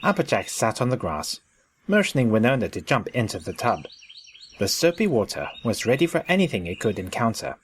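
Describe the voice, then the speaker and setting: A man's voice: medium pitch, sing-song, fairly quick, slight background noise. A man narrating a scripted story in a storybook style.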